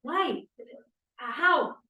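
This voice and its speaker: A brash, suspicious young female student played by a woman in a table read, with a very high voice that swings dramatically. She sounds echoey, picked up from across a room. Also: animated, very slow, sharp tone, muffled recording, full character voice. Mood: sceptical, indignant. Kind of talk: informal script table read. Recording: echoey room, distant mic.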